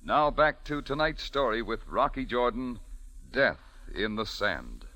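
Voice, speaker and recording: A male announcer reading a promotional break in a crisp, polished style. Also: mid-range voice, sing-song, a little slow. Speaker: radio announcer. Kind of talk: 1940s network announcing.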